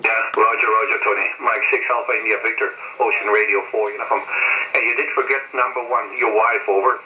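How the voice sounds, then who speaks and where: A man's voice: on the low side, fairly even intonation. Dull, noisy radio voice of a Flemish man. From Belgium. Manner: halting, repeats phrases. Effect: amateur radio transmission. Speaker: amateur radio operator. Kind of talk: radio contact chat. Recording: dull, echoey, noisy shortwave radio link.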